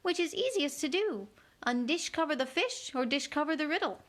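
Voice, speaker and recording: A woman voicing a gentle, dotty queen with a soft cooing voice, reading a storybook aloud. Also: high voice, lively intonation, moderate pace, pitched above the performer's own voice.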